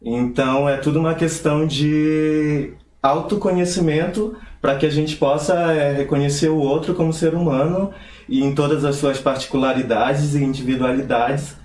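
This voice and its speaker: A young man from Pará, Brazil, speaking earnestly as an interview guest with measured hesitations. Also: fairly high pitch, steady tone, a little mellow, echoey room, a little hiss or hum. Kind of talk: conversational interview.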